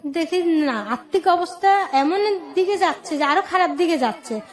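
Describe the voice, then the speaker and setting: A woman's voice: extremely high pitch, lively intonation, moderate pace. A woman with a Bangladeshi accent telling her personal life story as a radio guest, in plain colloquial short phrases.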